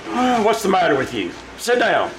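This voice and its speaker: A man's voice: high-pitched, wildly swooping pitch, normal speed, background noise. An actor playing a flustered, defensive man with a secret, stammering and indignant in old-time radio drama style.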